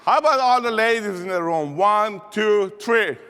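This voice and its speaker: Energetic Persian-accented man, hall speech. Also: high voice, moderate pace, over a noisy crowd, very noisy. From Iran. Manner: rallying, call-and-response with audience. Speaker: motivational speaker. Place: large conference hall. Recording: reverberant hall.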